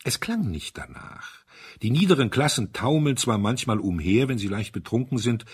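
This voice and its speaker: A man narrating a literary mystery in a measured, suspenseful, dramatic storytelling manner. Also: medium pitch, very animated, slightly rough.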